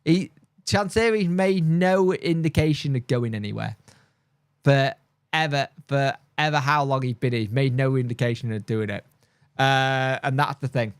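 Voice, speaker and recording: A man's voice: mid-range voice, moderate pace, clear, resonant tone, dry recording, studio quality. Tired man chatting on a stream. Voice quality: mild speech impediment. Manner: rambling, blunt, frequent fillers and restarts. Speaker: football fan channel host. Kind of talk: casual live-stream chat reading viewer comments.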